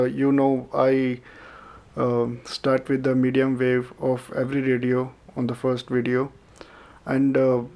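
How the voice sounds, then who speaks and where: A man's voice: mid-range voice, darkish tone. A man reviewing a radio, talking hesitantly and methodically with many filler sounds, recorded indoors at a desk. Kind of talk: product review and unboxing. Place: indoors at a desk with a tripod camera.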